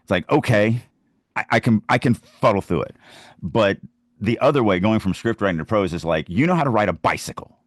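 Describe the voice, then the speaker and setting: Man, very deep voice, dry close sound, casual podcast talk, emphatic, illustrative. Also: lively intonation, fairly quick, dry recording. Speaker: podcast host and writing coach. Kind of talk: casual podcast chat about writing.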